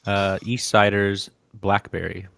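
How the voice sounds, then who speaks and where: A man with a low voice co-hosts a casual sports podcast. He speaks in a relaxed, easy way and puts questions to the guest. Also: slow, creaky, a little hiss or hum. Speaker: podcast co-host.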